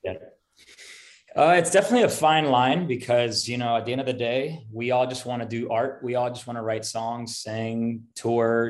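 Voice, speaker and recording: A man in his thirties from Miami whose first language is Spanish, chatting easygoing as a podcast guest and rambling with lots of fillers. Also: medium pitch. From Miami, United States. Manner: easygoing, rambling, many fillers like "um" and "you know". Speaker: podcast guest, country singer. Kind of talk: casual interview chat.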